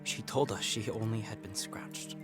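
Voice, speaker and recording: Actor as a subdued castaway, music underneath. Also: male voice, fairly low pitch, moderate pace, whispered, lightly acted. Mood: sorrowful. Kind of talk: period audio drama acting.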